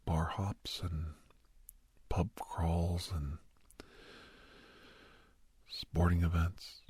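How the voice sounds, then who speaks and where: A man playing a doctor who counsels a young person in an ASMR roleplay, mostly whispering in a slow, breathy, reassuring but firm manner. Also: low-pitched, little pitch movement, a little mellow, no echo, full character voice. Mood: gentle, advising. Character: male doctor gently counselling a young werewolf for college.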